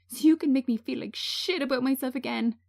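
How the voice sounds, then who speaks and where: A woman's voice: high-pitched, fairly quick, creaky, a little mellow, pitched above the performer's own voice. A woman voicing a traumatised, bitter woman in a screenplay reading, anguished and accusatory. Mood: anguished, accusing. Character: traumatised woman, distraught, bitter, drinking alone.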